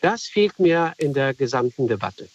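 A man's voice: medium pitch, big pitch swings, no echo. Earnest man calling in by phone. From Afghanistan. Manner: earnest, reflective, halting. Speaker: caller. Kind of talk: call-in radio comment. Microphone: telephone. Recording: dull phone line.

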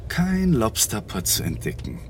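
An actor playing a mild, affectionate, long-suffering husband in a German radio play, with a soft, conciliatory manner. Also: male voice, fairly low pitch, wildly swooping pitch, a little slow, a little hiss or hum, heavily acted. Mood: placating, tender. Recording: distant radio play scene with music.

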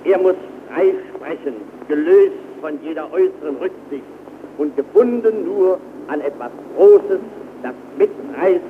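A rousing political agitator played by a man, fairly high and swinging widely in pitch as he declaims. It sounds like a dull old gramophone record with some noise. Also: lively intonation, unhurried. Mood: rousing, fervent. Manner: emphatic, rousing, oratorical. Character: fervent workers' radio movement agitator. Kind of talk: declamatory promotional record speech.

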